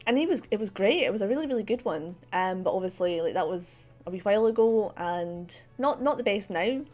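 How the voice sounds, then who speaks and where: A Scottish woman with a central belt accent talks easily into a desk microphone, mid-pitched and chatty. She rambles from thought to thought, full of "um"s and small laughs. Faint music plays softly behind her. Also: animated, fairly quick. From Scotland. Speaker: streamer. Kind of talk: casual livestream chat while drawing. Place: home desk. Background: faint background music.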